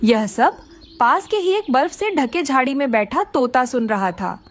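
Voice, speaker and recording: A woman's voice: high-pitched, expressive, a little slow. A woman giving scripted narration for a children's animal story.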